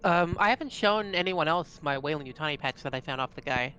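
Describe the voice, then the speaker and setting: Quick, higher-pitched man playing a tabletop game. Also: lively intonation, bright, forceful tone, lo-fi sound. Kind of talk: casual in-game chat.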